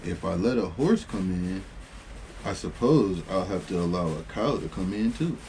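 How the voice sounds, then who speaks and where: A man acts out a young storybook girl pretending to be a librarian. The delivery is polite, chatty and a little bossy, with a mellow tone. Background noise sits under the voice. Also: low voice, expressive, moderate pace. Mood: gracious, excited. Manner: gracious, chatty, mildly fussy. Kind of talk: storybook read-aloud dialogue.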